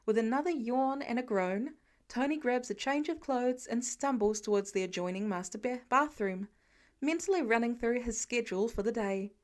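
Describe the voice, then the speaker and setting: A woman with a fairly high voice reads fiction aloud. Her delivery is scripted storytelling, in the manner of a home-made audiobook. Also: lively intonation, normal speed, darkish tone. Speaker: amateur audiobook narrator.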